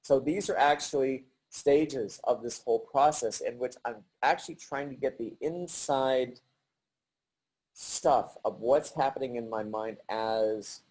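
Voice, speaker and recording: A middle-aged man giving a halting virtual artist talk over a video call, with many pauses and restarts. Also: mid-range voice, a little mellow, low-quality recording. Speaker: artist presenting his work.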